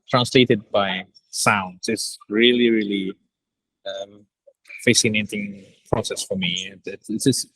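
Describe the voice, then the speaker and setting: A man, recorded very dry. He talks casually as an interviewed director, in halting phrases, repeating words for emphasis. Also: fairly low pitch, a bit bright, dry recording, poor audio. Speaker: director interviewed about an audio drama.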